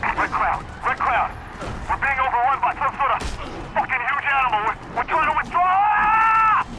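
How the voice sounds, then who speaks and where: An actor playing a panicked soldier in a video game, shouting frantically for backup under attack. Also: male voice, very high voice, animated, moderate pace. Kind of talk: video game cutscene acting. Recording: noisy battlefield with gunfire.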